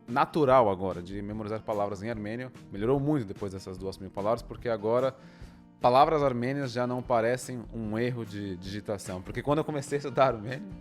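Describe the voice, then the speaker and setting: Young Brazilian man, Brazilian Portuguese accent, mid-range voice, reflective and halting as he explains; co-host in a casual chat. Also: medium pitch, soft background music. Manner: thoughtful, explains at length with many pauses and restarts. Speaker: co-host, language learner.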